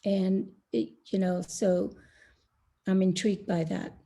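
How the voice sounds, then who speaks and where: A middle-aged woman from India speaking as a panelist on an online call, slow and thoughtful with many pauses and fillers. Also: medium pitch, flat delivery, mellow. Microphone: video call.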